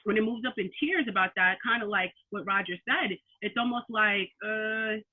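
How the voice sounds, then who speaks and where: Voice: medium pitch, normal speed. Eager speaker over tinny phone line. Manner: eager, conversational, apologetic. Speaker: athletic trainer. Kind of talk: town hall discussion.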